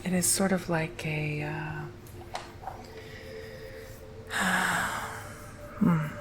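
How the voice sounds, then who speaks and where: A man whispering softly and gently through an ASMR roleplay, as if doing someone's makeup. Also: a little high, flat delivery, background noise. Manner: soft whispering, slow and gentle.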